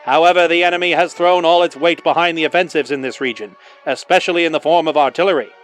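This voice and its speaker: A high-pitched, declamatory wartime newsreel announcer in the 1940s manner, put on by a man. He delivers patriotic narration with rousing drama, and music plays behind him. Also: fast, fairly bright tone, close to an everyday voice. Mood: grave, urgent.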